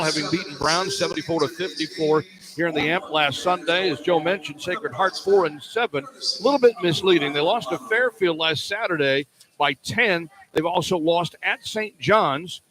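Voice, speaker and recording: A man with a fairly high-pitched voice calls a live sports radio broadcast. He delivers pre-game commentary as the play-by-play announcer, with a clear crowd sound from the arena behind him. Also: normal speed, poor audio.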